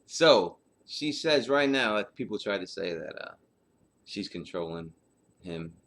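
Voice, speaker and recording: A man's voice: on the low side, lively intonation, unhurried, fairly bright tone. A man giving informal, unscripted commentary as a host, in a rambling, colloquial way that circles back over points. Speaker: host of book chapter breakdown.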